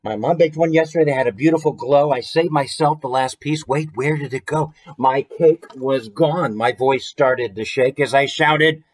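A man in his forties with an American accent, hosting a story time in an expressive, conversational way with chatty asides. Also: mid-range voice, moderate pace, hardly any pauses. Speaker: author reading his picture book. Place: small room at home, indoors.

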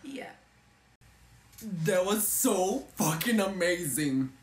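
A young man from Brazil, speaking English with a Brazilian accent, chatting playfully as a music reaction vlogger, swearing casually and breaking into high excited squeals. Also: slow. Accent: Brazilian Portuguese. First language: Portuguese. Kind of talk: casual chat. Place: indoor room with a pink backdrop. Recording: roomy echo with music behind.

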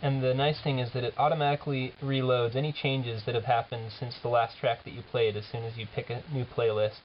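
A man giving an informal software demo as the app's developer, talking casually and haltingly as he thinks aloud. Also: medium pitch, little pitch movement, a little hiss or hum. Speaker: app developer presenting a demo. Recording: dull lo-fi camera audio, music behind.

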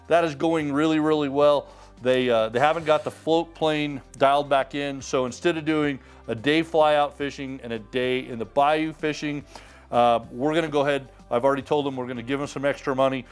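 A middle-aged man hosting a sweepstakes drawing in a small studio, casually announcing winners' names and towns off the cuff. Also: medium pitch. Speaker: sweepstakes host. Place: indoor studio with wood walls and mounted trophies.

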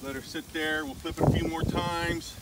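A man's voice: a little high, steady tone, normal speed. A man in his forties hosting a home cooking vlog, chatting in a folksy, joking, plainspoken way. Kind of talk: casual cooking show chat. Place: outdoors by a smoker in wind and snow. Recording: distant phone audio with wind noise.

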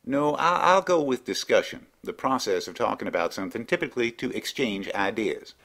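A man's voice: mid-range voice, brisk, creaky. A man playing an unflappable, obtuse and folksy rustic in an absurdist radio comedy. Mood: pedantic. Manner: folksy, deadpan wordplay. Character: obtuse, unflappable rustic country buddy, cheerfully pedantic.

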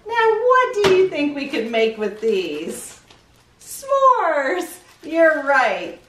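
A woman's voice: sits high, wildly swooping pitch, very slow, a bit bright. A middle-aged woman hosting a show for young children, chatty and playful, often putting questions to the viewers. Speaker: children's story show host. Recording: roomy echo, distant mic.

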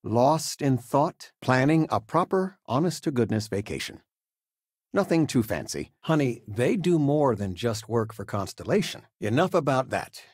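Retired teacher dad for a game: older man, lively intonation, husky, fond and gently joking, dry close sound. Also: mid-range voice, measured pace, no echo. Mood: warm, reassuring. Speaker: voice actor. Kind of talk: video game character dialogue.

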